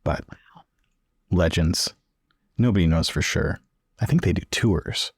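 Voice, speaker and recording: A man's voice: low-pitched, unhurried, slightly rough, no echo, studio quality. A man playing a tabletop roleplaying game, moving casually between in-character talk and narrating what his character does.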